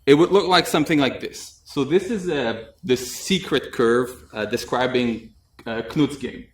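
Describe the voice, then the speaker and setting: A young man presents at a conference in a relaxed, chatty way, sprinkling in light jokes and "uh" fillers. His voice sits at a middle pitch and is picked up by a desk mic from a little way off. The room has a noticeable echo. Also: unhurried. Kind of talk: technical conference talk. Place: conference room with audience, indoors. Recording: echoey room, mic at a distance.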